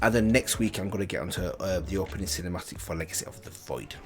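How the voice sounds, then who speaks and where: A man's voice: deep voice, lively intonation, fast talker, heavy background noise. A man hosting a reaction video, reviewing casually and thinking aloud in a rambling way.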